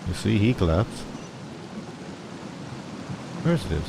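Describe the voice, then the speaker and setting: A man's voice: fairly low pitch, very animated, drawn-out words, vocal fry, noisy background. A man who streams games, reading on-screen text aloud and commenting with playful, casual asides. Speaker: game streamer.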